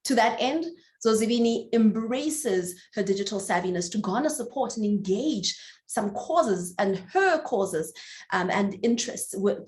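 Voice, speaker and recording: A woman's voice: mid-range voice, darkish tone, reverberant. A woman moderating a panel, who introduces her guest in an admiring, slightly rambling way. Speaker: moderator, host.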